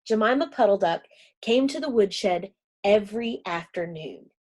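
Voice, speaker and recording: A woman reading a children's storybook aloud, gentle and expressive. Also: mid-range voice, measured pace.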